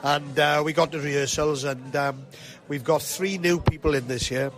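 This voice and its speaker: A man's voice: fairly high pitch, steady tone, moderate pace. A man chatting casually as a performer in an interview. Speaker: pantomime star, interview guest.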